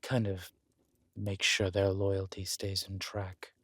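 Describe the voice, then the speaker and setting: A man's voice: sits low, monotone, slow, fairly bright tone, dry recording, full character voice. A man playing a scheming, flirtatious villain king in an intimate roleplay audio drama, with a languid, teasing, menacing delivery. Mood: coolly menacing.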